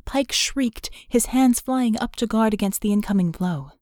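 A woman's voice: fairly high pitch, normal speed, a little mellow, dry recording, studio quality. A woman reading dramatic fiction as an audiobook narrator.

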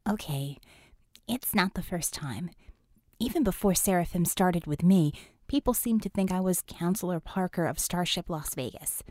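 A woman's voice: fairly low pitch, normal speed, warm tone, no room sound, studio quality, lightly acted. A woman voicing an outspoken, feisty young female superhero with a blunt, informal and emphatic way of talking in an audiobook. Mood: exasperated.

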